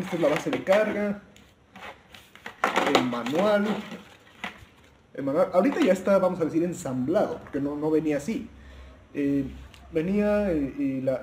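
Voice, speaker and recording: A man's voice: a little high, dark tone, a little hiss or hum. A man giving a casual, informal product review outdoors, hesitant and often repeating or correcting himself as he presents. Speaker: presenter of a gadget review video.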